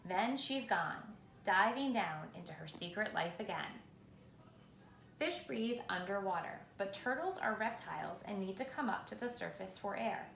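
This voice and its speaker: A woman with a medium-pitched, noticeably creaky voice reads a children's story aloud. Her delivery is measured and expressive, with dramatic pauses. The room adds clear echo, and there is faint noise behind her. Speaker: reader. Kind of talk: children's picture book read aloud.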